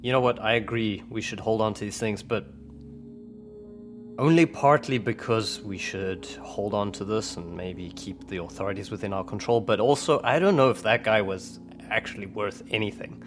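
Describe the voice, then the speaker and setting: Man playing a blunt, lowish-voiced field agent. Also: moderate pace, faint music behind, natural voice. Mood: skeptical. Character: skeptical, challenging male field agent with rough past.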